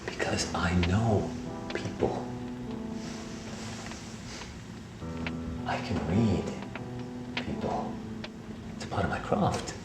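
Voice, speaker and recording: An actor playing a shaken, grieving gentleman lover in an audio drama, with a halting, emotional delivery. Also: deep voice, reverberant, loud music behind the voice. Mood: concerned, probing. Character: Parker Raleigh, grieving secret lover, shaken career man.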